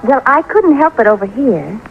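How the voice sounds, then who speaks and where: A woman's voice: a little high, sing-song, normal speed, gravelly. A female radio actor playing an ingratiating city woman with a hesitant, politely helpful manner. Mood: coyly helpful. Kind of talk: old-time radio acting. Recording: muffled, noisy old radio recording.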